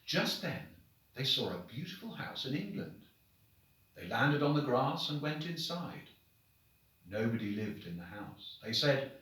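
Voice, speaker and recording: A man reads short stories aloud like a headmaster addressing his pupils. His delivery is expressive and animated, with mid-range pitch and deliberate, dramatic phrasing. The room is strongly reverberant and he sounds set back from the microphone. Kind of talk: expressive storybook reading to children. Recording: very echoey room, distant microphone.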